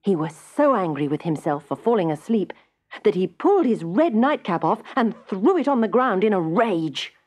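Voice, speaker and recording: Lively, slightly husky woman storyteller. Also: mid-range voice. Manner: measured storytelling with dramatic emphasis. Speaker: storybook narrator. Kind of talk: expressive scripted children's story narration.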